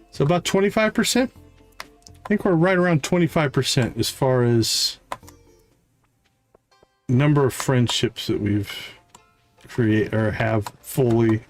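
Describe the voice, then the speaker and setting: A man's voice: on the high side, a little mellow. A man hosting a game livestream, thinking aloud in casual, chatty commentary as he plays. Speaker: livestream host playing a video game. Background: game music.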